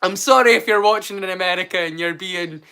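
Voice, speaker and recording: A man's voice: high-pitched, fairly quick, pushed and bright, clear, resonant tone. A Scottish man chatting casually on a live stream as a storyteller, rambling and swearing freely.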